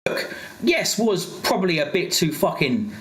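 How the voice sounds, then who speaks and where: A man's voice: high voice, very animated, moderate pace, fairly bright tone, a little hiss or hum. Exasperated, sweary man in an echoey room. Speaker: livestreamer. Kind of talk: heated livestream rant.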